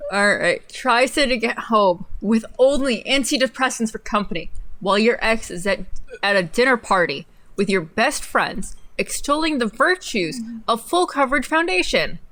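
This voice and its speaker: A young woman with a fairly high voice co-hosts a livestream from home. She reads aloud theatrically and mockingly, slipping into casual, playful banter. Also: expressive, normal speed, a bit bright. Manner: giggly, playful, theatrical when reading aloud. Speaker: livestream co-host. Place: indoors at a desk in a home streaming setup.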